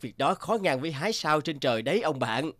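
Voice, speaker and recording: A man's voice: fairly high pitch, expressive, fairly quick, no echo, pitched above the performer's own voice. A man voicing a mocking, carefree young horseman in a cartoon fairy tale, with a jeering manner. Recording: loud music bed behind voice.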